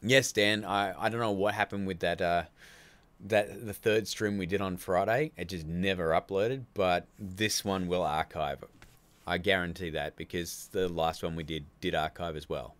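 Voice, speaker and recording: A man with an Australian accent and a low voice hosts a casual live stream. He rambles along in a chatty way, laughing often and calling his viewers "dude". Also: steady tone, moderate pace, fairly bright tone. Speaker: livestream host.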